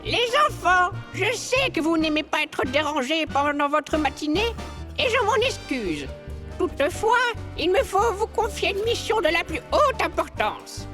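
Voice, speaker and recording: A very high, swooping old alien matriarch, airy and grandly formal, as in an audio drama. She takes her time and sounds dismissive, with music clearly behind her. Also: very animated, unhurried, a bit bright, full character voice. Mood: solemn address.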